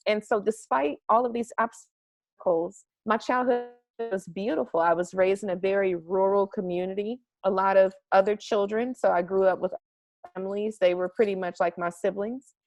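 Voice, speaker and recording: A woman's voice: mid-range voice, steady tone, normal speed, darkish tone, rough recording. A young woman from the United States, the guest of an interview, talking eagerly and at length from her home garage, with fillers as she goes. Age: young adult. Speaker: interview guest, marketing strategist. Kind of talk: casual interview over a call.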